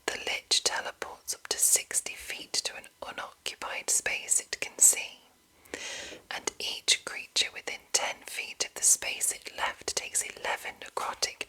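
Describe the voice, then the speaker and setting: A woman whispering an ASMR reading in a breathy voice, deliberate and broken into short phrases with pauses. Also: sharp tone, rough recording. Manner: slow, deliberate, pausing between short phrases. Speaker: ASMR host reading game monster entries.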